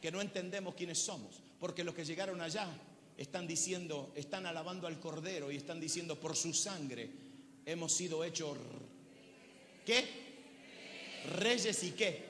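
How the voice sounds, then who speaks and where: A pastor in his fifties preaching a sermon to a congregation, throwing questions at them and swinging from loud bursts to quiet, low lines. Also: measured pace, pauses often. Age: 50s. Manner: preaching with call-and-response questions, swinging from shouts to low tones. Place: large church hall. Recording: church hall reverb, faint noise.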